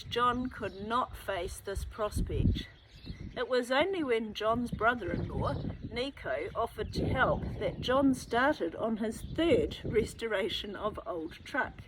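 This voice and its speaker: A woman with a New Zealand accent reading a children's book aloud, adding chatty asides and thinking aloud to young listeners. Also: fairly high pitch. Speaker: children's story reader. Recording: noticeable background noise.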